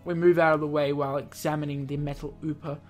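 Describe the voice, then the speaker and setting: A man's voice: a little high, flat delivery, fast talker. Man reading a visual novel aloud. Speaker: gaming YouTuber. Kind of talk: visual novel read-aloud with casual commentary. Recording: game music behind. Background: visual novel game music.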